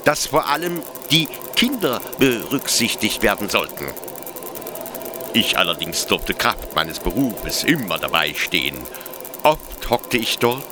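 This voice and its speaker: An actor playing a fervent, nostalgic officer in a radio drama, theatrical, moving between hushed confiding and exalted declaiming. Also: male voice, mid-range voice, background noise. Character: fervent, nostalgic male officer devoted to brutal old justice.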